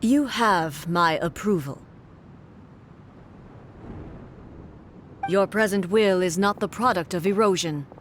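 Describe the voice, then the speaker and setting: An actress playing a stern, regal female ruler in a video game cutscene, speaking in a measured, formal and grave way. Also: fairly low pitch, a little hiss or hum, close to an everyday voice. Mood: resolved.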